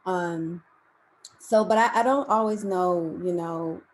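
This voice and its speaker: A woman poet talking casually and reflectively on a panel, thinking aloud in a halting, conversational way. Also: lowish voice. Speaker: poet and essayist on a reading panel. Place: remote video call. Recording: noisy video-call audio.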